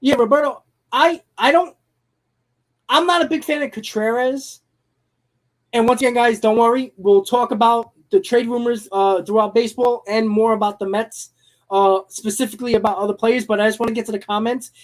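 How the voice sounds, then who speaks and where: A man hosting a live-streamed sports talk show, hoarse from losing his voice, giving blunt, emphatic opinions. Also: very high-pitched, steady tone, normal speed, rough recording.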